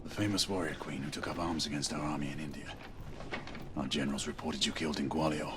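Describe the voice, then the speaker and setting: An actor playing a grim, skeptical British knight in a video game, terse and formal, with a British accent. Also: male voice, very deep voice, fairly even intonation, fast, very noisy. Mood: sober. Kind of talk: dramatic video game acting. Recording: loud game ambience behind the voice.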